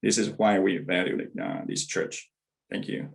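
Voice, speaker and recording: A man from Taiwan delivers a prepared church testimonial in a measured, careful way. His voice sits low, with a gravelly edge. He sounds like he is speaking on a video call. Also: fairly even intonation. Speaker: church member giving testimonial.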